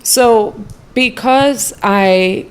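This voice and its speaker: A woman's voice: fairly high pitch, extremely slow, clear, resonant tone. A woman from New City, giving long, confident interview answers as a real estate event organizer. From New York City. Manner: confident, expansive, speaks in long lists.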